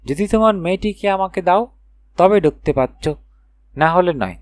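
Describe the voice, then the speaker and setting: A man's voice: high-pitched, lively intonation, normal speed, pitched above the performer's own voice. A man voicing a stern, scolding old witch woman in a storybook tale, full of warnings. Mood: threatening, bargaining. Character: stern, threatening old witch woman guarding her magic garden. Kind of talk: storybook character voice.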